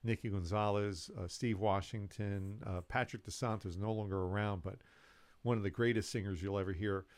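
An older man, a musician, telling his story in a relaxed, rambling way with many fillers and restarts. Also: lowish voice, brisk, no room sound. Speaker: musician guest. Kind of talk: casual storytelling interview.

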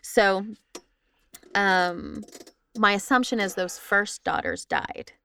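A woman's voice: medium pitch, takes it slowly, a bit bright, clean tone, dry recording, studio quality. A woman podcast host casually talking through her research in conversation. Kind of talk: casual chat, explaining research.

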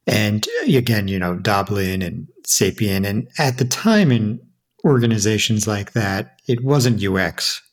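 A man's voice: lowish voice, a little slow. A man hosting a conversational interview, asking questions in an informal, roundabout way. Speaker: interview host.

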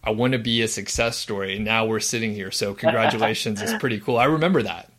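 A man with a low voice hosts a casual interview. He speaks in an upbeat, encouraging way, with the odd filler, asking questions and cheering his guest on. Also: fast talker, fairly bright tone. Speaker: host.

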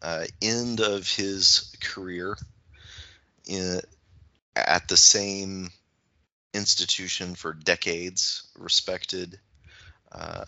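A man discussing things reflectively as a podcast panelist, with halting, searching phrasing and repeated words, close on the microphone. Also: sits low, bright, forceful tone, no room sound, highs cut off.

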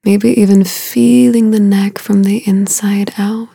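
A woman leading a guided meditation in a soothing, unhurried way, with a soft, breathy voice and long pauses between short phrases. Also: mid-range voice, level tone, no echo, studio quality.

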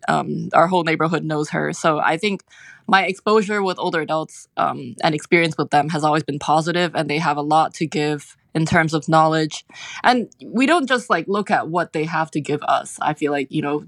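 A woman's voice: low-pitched, vocal fry, a bit bright, dry recording. A woman in her twenties from Hong Kong speaking as an interview guest, reflective and hesitant, often saying filler words. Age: 20s. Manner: hesitant, filler-heavy with frequent "like".

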